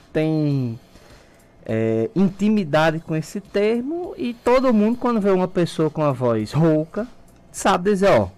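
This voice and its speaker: A middle-aged man with a Brazilian accent, an ENT doctor explaining things step by step as a guest in a radio studio, speaking through a face mask. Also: sits high. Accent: Brazilian Portuguese. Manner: didactic, calm explaining. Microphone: studio mic, wearing a face mask.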